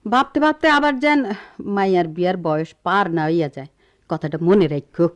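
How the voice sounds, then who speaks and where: A woman's voice: fairly low pitch, sing-song, brisk, clear, resonant tone. A woman playing a scolding middle-aged village wife and mother in a Bengali radio drama, with a rural Bangladeshi accent. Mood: pressing. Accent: rural Bangladeshi dialect. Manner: scolding, reproachful.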